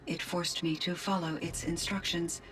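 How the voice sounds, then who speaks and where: A woman voicing a gentle, courteous artificial intelligence in a video game, with precise, measured, formal diction. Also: lowish voice, level tone, slight background noise, close to an everyday voice. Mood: troubled, confiding.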